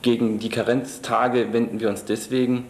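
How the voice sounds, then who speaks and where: A man's voice: medium pitch, little pitch movement, normal speed. A man giving formal, wordy interview answers as a trade union official. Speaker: trade union regional secretary being interviewed. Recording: echoey room, faint background noise.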